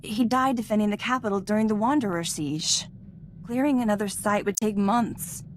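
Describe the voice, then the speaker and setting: A woman's voice: medium pitch, moderate pace, a little hiss or hum, lightly acted. An actress playing a modest, eager young female Jedi apprentice in a video game, earnest and a little hesitant in a conversational way. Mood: thoughtful, earnest. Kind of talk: scripted video game voice acting.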